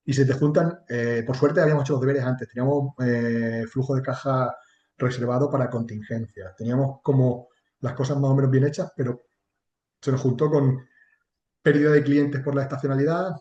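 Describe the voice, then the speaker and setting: A man's voice: medium pitch, fairly even intonation, brisk, warm tone, echoey room, highs cut off. A man from Extremadura with an accent from Spain, chatting candidly and colloquially as an interview guest, with some mild swearing. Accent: Spanish from Spain. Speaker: interview guest, chatbot marketing entrepreneur. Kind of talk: casual interview chat.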